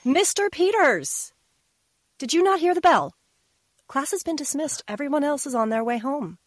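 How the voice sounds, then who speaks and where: A woman playing a stern, exasperated high school teacher, firm and scolding, in an audio drama. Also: sing-song, normal speed, no room sound, clean studio sound.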